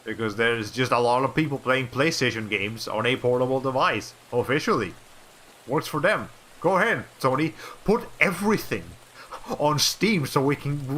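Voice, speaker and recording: A man's voice: medium pitch, fairly bright tone, slight background noise. A young man from Colombia, a native Spanish speaker, chatting casually on a livestream in a rambling way with many fillers and restarts. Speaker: VTuber streamer.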